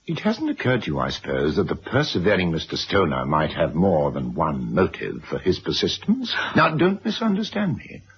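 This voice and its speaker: Controlling middle-aged dad in old radio play, low voice that swoops around a lot, muffled hissy tape. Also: male voice, wildly swooping pitch, normal speed, few pauses, heavily acted. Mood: coolly sardonic. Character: domineering, wealthy middle-aged father, smooth and controlling. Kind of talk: 1970s radio drama acting.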